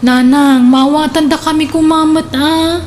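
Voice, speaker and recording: A woman's voice: sits high, fairly even intonation, a little slow, darkish tone, a little hiss or hum. A woman acting a sweet, pleading young daughter in a radio drama, speaking with an Ilocano accent.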